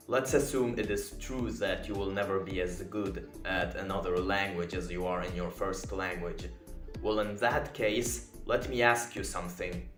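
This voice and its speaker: Earnest young man ranting in echoey room. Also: on the low side. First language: Polish. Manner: earnest, emphatic and reflective. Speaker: video essayist. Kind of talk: self-improvement commentary rant.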